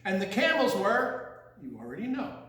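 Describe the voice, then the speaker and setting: A man's voice: sits high, wildly swooping pitch, bright, forceful tone. An older man reading a picture book aloud to children in a theatrical, singsong way, with teasing asides. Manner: theatrical, singsong, drawn-out delivery with teasing questions. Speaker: children's storyteller. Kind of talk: read-aloud picture-book story with playful asides to young listeners. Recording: very echoey, reverberant room.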